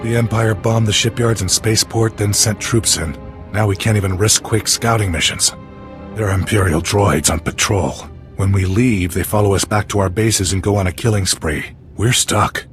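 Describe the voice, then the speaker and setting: An actor playing a pragmatic resistance soldier in a video game, talking in an informal, matter-of-fact military way. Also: male voice, lowish voice, fairly even intonation, moderate pace, faint music behind, natural voice. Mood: grim briefing. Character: plain-spoken, pragmatic resistance soldier, adult man.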